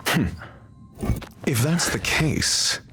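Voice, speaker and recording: An actor playing an arrogant, condescending genius scholar in a video game, with a haughty, deliberate, disdainful delivery. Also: male voice, fairly high pitch, pushed and bright, full character voice. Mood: taunting. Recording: game music under the voice. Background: game music.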